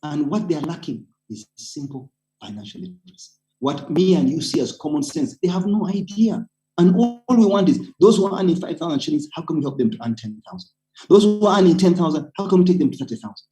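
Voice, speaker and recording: Earnest Ugandan man with a mellow voice. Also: fairly high pitch, expressive, fast talker, soft background music, low-quality recording. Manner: earnest, emphatic, persuasive. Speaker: panelist, community organizer. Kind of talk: webinar talk, personal account with exhortation.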